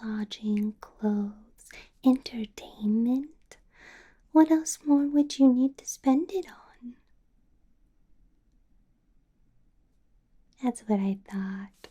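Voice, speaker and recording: A woman voicing a doting, possessive vampire boss in an ASMR roleplay, in a soft breathy whisper with a coaxing, controlling sweetness. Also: on the high side, slow, muffled recording. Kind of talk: ASMR roleplay, close whispered personal attention.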